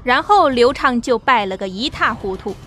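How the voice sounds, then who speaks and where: Female audiobook narrator, high voice, steady vivid delivery, faint music under it. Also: normal speed. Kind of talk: scripted novel narration.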